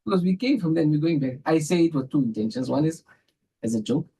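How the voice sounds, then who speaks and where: A man's voice: a little high, expressive, fast talker, warm tone, slightly rough. A man with a South African accent sharing religious reflections conversationally as a scholar, repeating phrases for emphasis. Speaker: Islamic scholar, podcast guest.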